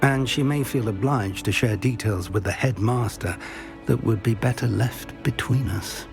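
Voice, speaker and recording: A man's voice: mid-range voice, normal speed, gravelly, lightly acted. A man playing a kindly, discreet wizard professor in a video game, speaking in an erudite, courtly and confiding manner. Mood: confiding, encouraging. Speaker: teacher. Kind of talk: scripted video game acting. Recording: prominent music behind the voice. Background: prominent background music.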